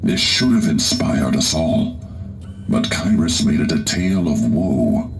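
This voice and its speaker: A man playing a grave, troubled warrior medic in a video game cutscene, speaking in a solemn, weary, deliberate way. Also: a bass voice, lively intonation, soft background music, heavily acted. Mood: somber, troubled. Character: grave, troubled armored warrior medic confessing dark secrets.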